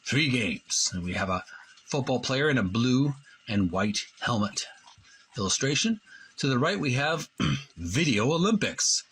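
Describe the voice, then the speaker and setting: A man hosting a video channel, reading aloud and commenting in a halting way, often repeating and correcting his phrases. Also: medium pitch, very animated, a bit bright, husky, low-quality recording. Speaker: host of a retro video game channel. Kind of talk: read-aloud book walkthrough with commentary.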